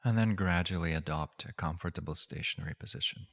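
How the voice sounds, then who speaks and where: Low-voiced man guiding a meditation. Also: creaky. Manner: gentle, unhurried, with long pauses. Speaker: meditation teacher. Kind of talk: guided meditation.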